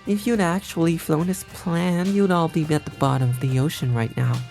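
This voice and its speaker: A woman playing a sly, cocky turncoat schemer in a visual novel game, with smug, teasing, drawn-out delivery. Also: low voice, steady tone, gravelly, darkish tone, faint music behind. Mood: regretful, firm.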